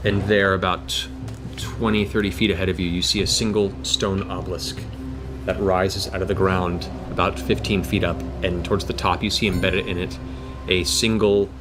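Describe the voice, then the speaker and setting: A man's voice: deep voice, flat delivery, moderate pace, music in the background. A man narrating a tabletop fantasy game as game master, with dramatic, descriptive storytelling.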